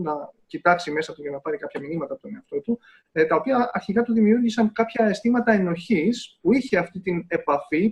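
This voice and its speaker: A man translating an interview into Greek in a measured way, often pausing with hesitation fillers. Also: high voice.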